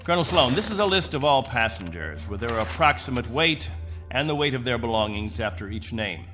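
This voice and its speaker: An actor playing a proud middle-aged leader of space colonists in a radio drama, formal, insistent and commanding. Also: male voice, mid-range voice, very animated, a little fast, pushed and bright, soft background music. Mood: matter-of-fact.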